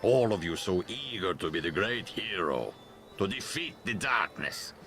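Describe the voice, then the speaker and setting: A proud, gravelly patriarch speaks slowly and with command in a game cutscene. His lowish voice swings dramatically between stern and teasing. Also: very animated, a bit bright, soft background music, full character voice. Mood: jovial, grandiose. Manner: commanding, deliberate, dramatic pauses. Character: stern, proud father and leader, teasingly theatrical. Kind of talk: video game cutscene acting.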